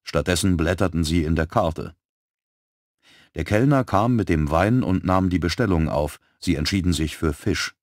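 A man narrating an audiobook thriller in an even, composed manner, close to a studio mic. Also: low-pitched, normal speed, no room sound. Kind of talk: scripted narration.

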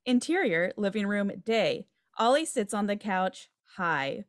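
A woman's voice: medium pitch, very animated, a little slow, no echo, clean studio sound. A woman reading stage directions as scripted narration for a table read on a video call. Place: home room on a video call.

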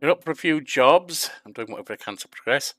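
A man's voice: mid-range voice, animated, fast, a bit bright, no echo. An older man from England narrating a management video game, reading the questions aloud and answering them himself. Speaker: gaming YouTuber. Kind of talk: casual let's-play commentary. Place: home room at a desk.

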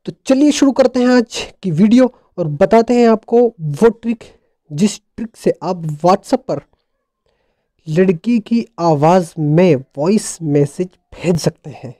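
A man's voice: high voice, animated, slow, dark tone, no echo, studio quality. A man narrating a casual how-to tech tutorial in a playful, jokey, colloquial way.

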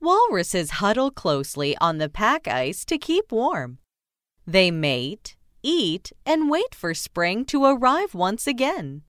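Slow, very animated woman reading aloud. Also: mid-range voice, no room sound, studio quality. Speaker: children's audiobook narrator. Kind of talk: scripted read-aloud narration of a children's nonfiction book.

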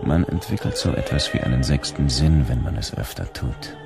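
A grim hunter with a very deep, gravelly voice. He broods through a radio drama monologue over loud music. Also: lively intonation, moderate pace, heavily acted. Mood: grim, brooding. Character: grim, brooding bounty hunter recounting a kill.

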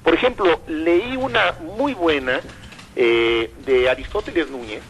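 An older man giving thoughtful commentary in a radio interview, pausing often and repeating words as he thinks. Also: on the high side, a bit bright, a little hiss or hum, rough recording.

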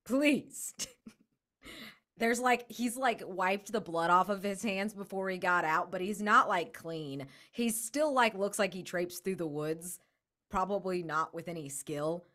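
A woman's voice: on the low side, unhurried, a bit bright. A woman playing a tabletop game, casually improvising her character's actions into a close desk microphone. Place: room at home, on a video call.

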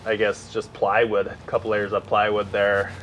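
Low, laid-back man explaining repairs haltingly, faint noise behind. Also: completely flat delivery, a bit bright, poor audio. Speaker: co-owner on the refit. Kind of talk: casual chat.

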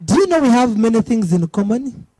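A man's voice: extremely high pitch, big pitch swings, brisk, warm tone, no room sound. A middle-aged man with a Ugandan accent hosting a talk show into a handheld mic, teasing his guest and laughing often between stammered restarts. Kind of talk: joking talk show chat. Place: TV studio set with low lights.

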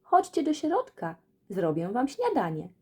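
Woman putting on a sly, croaky old witch. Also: fairly high pitch, wildly swooping pitch, measured pace, mellow, exaggerated voice. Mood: sugary, coaxing. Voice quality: hoarse, croaking. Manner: coaxing, scheming. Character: sly old witch feigning sweetness, scheming to eat children. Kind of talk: children's fairy tale audiobook.

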